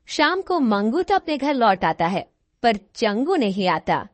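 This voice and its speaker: A woman's voice: fairly high pitch, sing-song, a little slow, no echo. A woman reading scripted story narration as the narrator of a tale.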